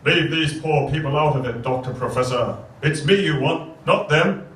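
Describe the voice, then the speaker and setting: A man's voice: on the high side, normal speed, fairly bright tone, lightly acted. A man acting an earnest, confident action hero with bold declaiming in a comic stage serial. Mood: firm. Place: theatre stage before a live audience. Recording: distant echoey theatre stage, faint noise.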